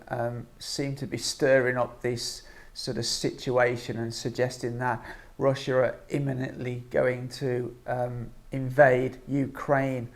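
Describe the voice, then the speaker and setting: A man giving informal opinion commentary as an online vlogger, speaking in a halting, hesitant way with frequent ums. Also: medium pitch.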